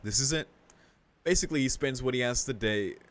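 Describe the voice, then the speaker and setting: A man's voice: mid-range voice, brisk, fairly bright tone. A man reading a visual novel aloud in a casual let's-play style, mixing narration with his own commentary.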